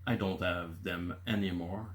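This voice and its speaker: Low slow quebecois french accent guy, 50s, native french speaker, lots of uhs. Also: steady tone, slight background noise. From Quebec City, Canada. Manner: halting, many filler uhs. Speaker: channel host. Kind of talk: casual talk from bullet points.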